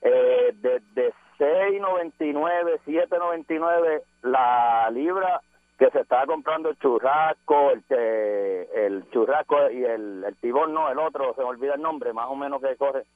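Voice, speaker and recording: A man's voice: mid-range voice, measured pace, no echo. A man with a Caribbean Spanish accent calling in to a radio show, chatting casually in an insistent, rambling, emphatic way over a tinny phone line. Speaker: radio call-in listener.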